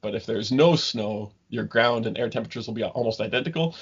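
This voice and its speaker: A man in his thirties from Ontario, a guest scientist talking casually in an interview, halting with many ums and restarts. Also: mid-range voice, breathy edge. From Ontario, Canada. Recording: dull lo-fi remote call audio.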